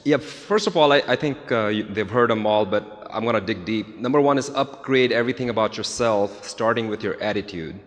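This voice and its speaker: A man in his forties from India, giving earnest, somewhat halting answers in an on-stage interview. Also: fairly low pitch. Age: 40s. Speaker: real estate agent, guest speaker. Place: large event hall with audience. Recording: echoey hall with faint noise.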